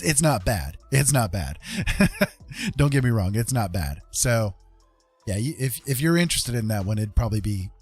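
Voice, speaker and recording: A man hosting a live stream in a casual, rambling chat, often pausing mid-thought and repeating his phrases. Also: medium pitch.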